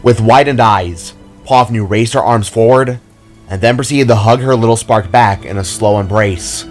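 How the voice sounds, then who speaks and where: A man reading scripted fantasy narration in a measured, dramatic storytelling manner. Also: on the low side, soft background music.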